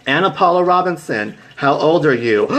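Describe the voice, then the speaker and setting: A man in his twenties casually telling a motivational story to live viewers. He rambles with restarts, jumps into high excited tones and breaks off to greet viewers by name. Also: slow pace, breathy edge. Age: 20s. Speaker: livestream host.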